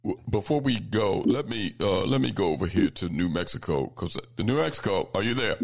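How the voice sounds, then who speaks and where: Low, gravelly male radio host. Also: animated, brisk. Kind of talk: taking a caller on a call-in show.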